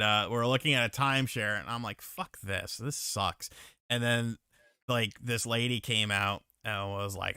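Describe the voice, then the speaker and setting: A man with a bright, smooth voice hosts a casual gaming chat. He reads viewer messages and tells lively, swearing-heavy stories about arcades. The close mic leaves his voice dry and clean. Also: medium pitch, no echo. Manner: profane, animated storyteller. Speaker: podcast host reading super chats.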